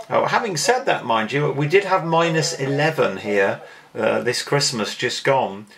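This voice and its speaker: Chatty English man with a gravelly voice. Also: mid-range voice, fairly bright tone. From England. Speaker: amateur radio operator. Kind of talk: casual radio contact chat.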